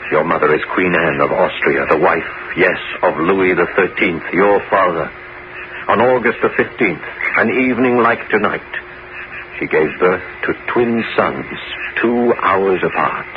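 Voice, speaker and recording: An actor playing an aging bishop and former musketeer in a 1970s radio drama, grave and resolute, with theatrical, deliberate, emphatic phrasing. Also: male voice, sits low. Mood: grave, insistent. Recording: muffled, noisy 1970s radio broadcast recording.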